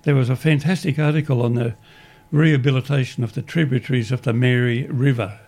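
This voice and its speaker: An Australian man with a gravelly, croaky voice runs a community radio show. He rambles through announcements, often restarting his sentences, and sounds upbeat about good news. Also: medium pitch, steady tone, fairly quick, darkish tone.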